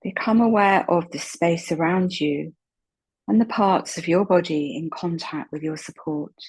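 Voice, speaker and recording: A woman with a low-pitched, husky voice guides a relaxation session. She speaks softly and gently, leaving long pauses between her calm instructions. Her tone is breathy and never hurried. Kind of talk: slow guided meditation, soothing instruction.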